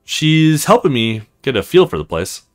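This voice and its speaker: Man reading as easygoing, animated young male student. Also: mid-range voice, normal speed, close to an everyday voice. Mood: modest. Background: music.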